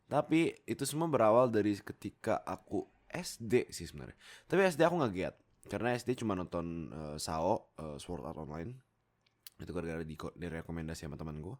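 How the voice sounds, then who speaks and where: A young man with a low-pitched voice and an Indonesian accent talks casually into a desktop mic. He tells personal stories in a loose, digressive way, wandering off and circling back. His manner is chatty and self-deprecating, like a content creator speaking to viewers. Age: young adult. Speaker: YouTube content creator and amateur voice actor. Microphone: Fifine K690 desktop USB microphone.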